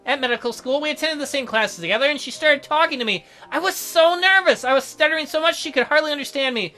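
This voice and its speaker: Man acting a hesitant, higher-voiced gentle doctor. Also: fast talker, soft background music. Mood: wistful. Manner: hesitant, mild, softly apologetic. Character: shy, awkward married doctor, gentle adult man.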